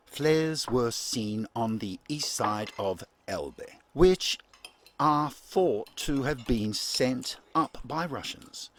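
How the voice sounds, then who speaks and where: A man playing a grave, formal 1940s radio newsreader in a radio play, with deliberate, halting phrasing. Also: on the low side, slight background noise.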